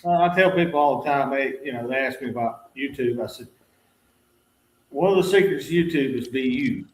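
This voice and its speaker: A man's voice: medium pitch, fairly quick, reverberant, low-quality recording. A man telling folksy, plain-spoken stories as a guest in a casual chat.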